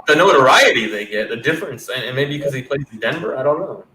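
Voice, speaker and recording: Rambling thirtysomething podcast host, roomy sound. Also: male voice, medium pitch, lively intonation, fast talker, pushed and bright. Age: 30s. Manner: loose, rambling, swears casually. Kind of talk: casual sports podcast chat. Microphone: headset on a video call. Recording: roomy sound with faint background noise.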